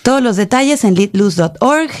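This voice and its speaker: Female radio host, mellow voice, enthusiastic interviewer. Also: fairly high pitch, expressive, normal speed.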